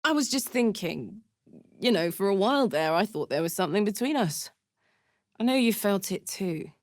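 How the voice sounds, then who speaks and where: A woman's voice: medium pitch, animated, normal speed, no echo, clean studio sound, close to an everyday voice. A woman playing a brash, battle-hungry warrior with a big heart in a video game, speaking informally and emphatically. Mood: wistful, hurt. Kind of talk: video game character voice lines.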